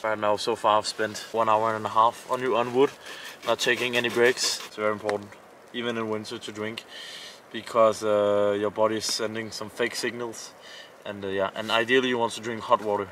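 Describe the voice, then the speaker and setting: A man with a Danish accent chatting casually to camera as an outdoor vlogger, in short, plain, halting phrases, recorded outside. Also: fairly low pitch, level tone, a bit bright, a little hiss or hum. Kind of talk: casual chat narrating camping tasks to camera. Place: outdoors at a winter campsite.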